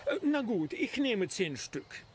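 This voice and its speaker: Snooty nobleman's servant, acted by a man for an audio story: highish, very animated, condescending. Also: slow, a little hiss or hum, full character voice. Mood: grudging. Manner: pompous, formal, condescending. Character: haughty, impatient male servant of a count, out shopping.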